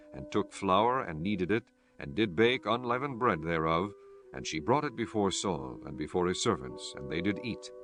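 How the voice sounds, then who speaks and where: A man giving measured, formal narration for a scripture audiobook. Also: deep voice, a bit bright.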